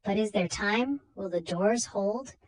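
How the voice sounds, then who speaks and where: A young woman painter from a gothic storybook, as a woman reader plays her, frightened yet determined. Her delivery is dramatic and pleading, at an average female pitch. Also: a little slow, close to an everyday voice. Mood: anxious, determined.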